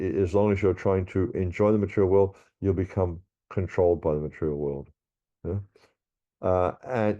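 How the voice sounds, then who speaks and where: A man's voice: low-pitched, warm tone. A man giving a scripture class, reading verses aloud and explaining them with frequent restarts and repeated words.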